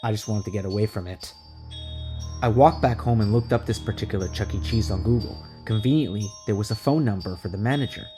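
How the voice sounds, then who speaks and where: A man narrating a first-person horror story in a casual, expressive, conversational way. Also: fairly low pitch, fairly quick. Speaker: creepypasta narrator. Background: music under the voice.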